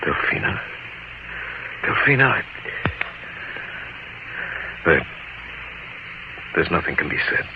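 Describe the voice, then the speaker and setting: An actor playing a weathered seafaring adventurer telling his tale gravely and deliberately, in old-time radio drama style. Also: rumbling low, big pitch swings, background noise, full character voice. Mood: torn, restrained. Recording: muffled vintage radio broadcast, music behind.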